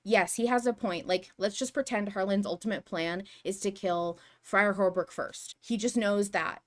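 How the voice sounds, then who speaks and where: A woman's voice: medium pitch, steady tone, moderate pace. A woman hosting a podcast, talking through fan analysis casually in an earnest, emphatic, argumentative manner. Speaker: podcast host.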